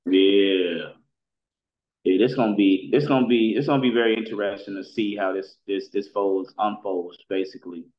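A man's voice: lowish voice, moderate pace, reverberant. A man, sounding middle-aged, giving casual street commentary in slang, repeating himself and often checking that the listener follows. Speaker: commentator on street and rap news. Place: indoor room, video call. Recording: dull, muffled call audio.

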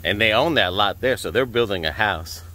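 A man's voice: lowish voice, lively intonation, moderate pace, pushed and bright. A man chats casually while filming a walking tour outdoors on a residential street, pointing things out as he goes. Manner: chatty, points things out as he films. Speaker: vlog co-host. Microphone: camera he holds while filming.

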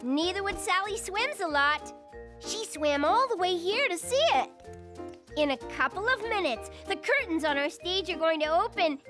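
A woman's voice: very high voice, animated, normal speed. A woman voicing an eager, earnest young girl bunny in a children's cartoon, a helpful best friend with a dramatic streak. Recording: music behind the voice.

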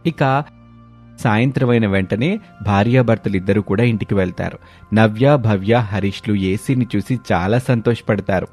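A man's voice: on the low side, moderate pace, darkish tone, soft background music. A man narrating a scripted story as the storyteller.

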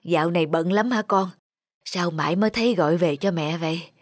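Woman acting frail, low-voiced old village mother. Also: fairly quick, no room sound, clean studio sound, lightly acted. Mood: tender. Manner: gentle, plaintive, reassuring. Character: frail, worried, self-sacrificing elderly village mother. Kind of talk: animated moral tale voice acting.

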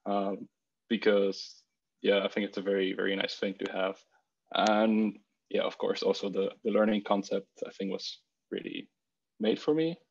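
A man's voice: lowish voice, fairly even intonation, moderate pace, gravelly, lo-fi sound, a little hiss or hum. A man of twenty from Bulgaria, a student answering hesitantly on a remote panel over a video call. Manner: hesitant, repeats words.

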